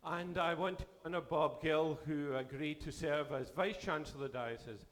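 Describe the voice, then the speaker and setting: A man serving as bishop, delivering a formal address to a church assembly while losing his voice, with a measured pace and sentences that trail off. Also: fairly high pitch, a bit bright, a little hiss or hum. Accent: Canadian.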